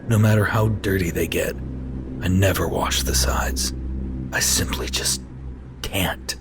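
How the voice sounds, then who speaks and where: Man acting out a deep-voiced, anxious, obsessive horror narrator. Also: background noise. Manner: dramatic, tense, lurching from hushed murmurs to anguished cries. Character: anxious obsessive-compulsive male office worker turned coldly violent. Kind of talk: first-person horror story narration. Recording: music behind the voice.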